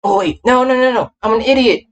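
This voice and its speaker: A man's voice: extremely high pitch, a little fast. A man livestreaming a game, chatting casually in a scattered way, often repeating himself and cutting himself off. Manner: scattered, self-interrupting, repeats phrases. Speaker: livestreamer, gamer VTuber. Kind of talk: casual livestream chat while setting up a game.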